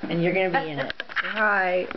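A woman's voice: lowish voice, steady tone, normal speed. A woman vlogging her family dinner, chatty and teasing. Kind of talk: casual chat. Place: home dinner table. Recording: lo-fi with background noise.

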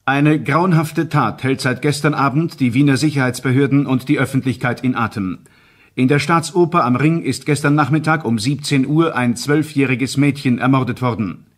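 An actor in a crime radio play reading newspaper reports in a grave, scripted news style. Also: male voice, mid-range voice, moderate pace, lightly acted. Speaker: newsreader.

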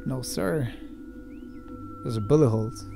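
A man's voice: mid-range voice, measured pace, vocal fry, mellow, faint music behind. A young man in his twenties vlogging while exploring outdoors, thinking aloud and talking straight to viewers through a handheld camera. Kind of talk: casual vlog narration while exploring. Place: outdoors on open hillside with brush. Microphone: handheld camera at arm's length.

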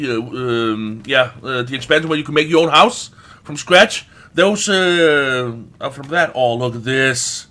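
A man's voice: on the high side, a bit bright. A man giving casual, exclamatory gameplay commentary with frequent swearing as a let's play host. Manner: exclamatory, often swearing, drawn-out words.

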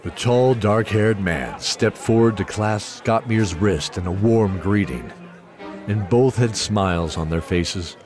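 A man's voice: fairly low pitch, takes it slowly. A man narrating a scripted fantasy story as the storyteller of an audio drama. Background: music.

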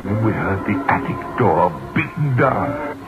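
Haunted male writer, deliberate radio-drama telling, very varied pitch, dulled vintage broadcast sound. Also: expressive. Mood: horrified, dramatic. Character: haunted, frightened male novelist telling his tale. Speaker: actor and narrator. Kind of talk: old-time radio drama acting. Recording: muffled old radio recording, music behind.

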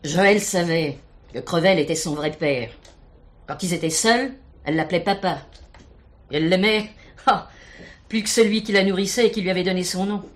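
A woman's voice: lowish voice, normal speed, long pauses, natural voice. An actress playing a plain-spoken, gossipy, indignant working-class woman giving testimony in colloquial, insinuating French radio drama style. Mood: confiding. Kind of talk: French radio play acting.

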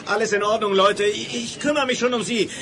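Gruff, protective action hero, high male voice, German radio drama. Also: fairly even intonation, brisk, background noise. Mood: urgent. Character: hot-headed, brave male crime-fighter, protective and gruff.

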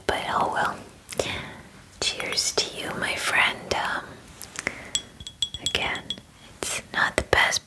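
Slow, whispering young woman. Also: rough recording. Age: 20s. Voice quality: breathy, unvoiced whisper. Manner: gentle, soothing, unhurried reassurance. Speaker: ASMR artist playing a spa groomer. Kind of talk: whispered ASMR roleplay, personal attention. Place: small room at home, indoors. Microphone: close microphone, near the camera.

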